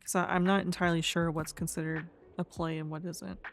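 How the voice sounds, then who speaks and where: A woman commentating casually as she plays a video game, hoarse and losing her voice, talking quietly to herself. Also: on the low side, flat delivery, a little fast, slight background noise. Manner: quiet, subdued, talking to herself while playing. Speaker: gaming video host. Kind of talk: casual let's-play commentary.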